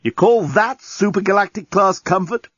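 A man playing an indignant traveller, raised in pitch and slow and emphatic as he makes demands. Slightly husky voice, dry and dull recording. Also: a bit bright, no echo. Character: indignant adult male traveller.

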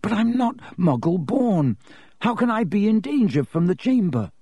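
A man's voice: sits high, very animated, moderate pace, dark tone, slightly rough, no room sound, voice pushed up, full character voice. A man voicing a young schoolboy in a storybook, suspicious, indignant and questioning. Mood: urgent, questioning.

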